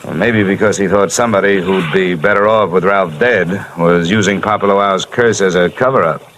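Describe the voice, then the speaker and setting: An actor playing a wisecracking insurance investigator who narrates a 1950s radio drama in a dry, hard-boiled way. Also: male voice, sits low, normal speed, fairly bright tone, slight background noise, rough recording. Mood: wry. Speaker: narrator and lead.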